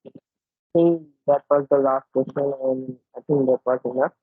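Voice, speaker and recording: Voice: mid-range voice, fairly even intonation, normal speed, no room sound. A webinar moderator on a video call, wrapping up and handing the mic to participants. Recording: dry, dull call audio with faint music.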